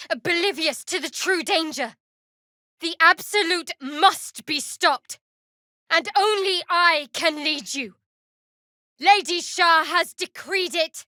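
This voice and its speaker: A woman voicing a guarded, sardonic young cleric of a dark goddess in a video game, with dry, clipped, wry delivery. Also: on the high side, dry recording. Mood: commanding. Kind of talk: video game character dialogue.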